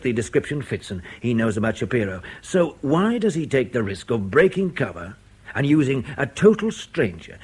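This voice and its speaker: Intel officer in radio drama, reasoning it out, mid voice, bit husky. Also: male voice, medium pitch, a little mellow. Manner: colloquial, argumentative, methodical. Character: brisk, reasoning male intelligence station officer. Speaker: intelligence officer briefing a colleague.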